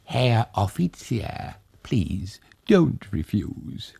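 A man voicing a shy young woman who pleads softly and politely. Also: on the low side, sing-song, drawn-out words, vocal fry, slightly rough, no room sound, clean studio sound, voice pushed up, full character voice. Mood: pleading.

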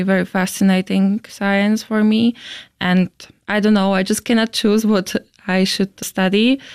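Clear young Slovak-accented woman, dry sound. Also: mid-range voice, darkish tone, dry recording. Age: 20s. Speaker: student interviewee. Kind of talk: explanatory interview talk.

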